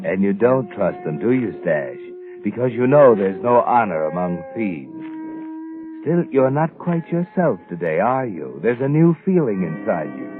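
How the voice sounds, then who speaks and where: Creepy all-knowing suspense narrator, lowish taunting voice, old radio sound w/ music behind. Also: male voice, sing-song, measured pace. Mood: mocking. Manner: mocking second-person address. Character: sinister, taunting omniscient male narrator, ominous and knowing. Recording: muffled 1940s radio recording with music.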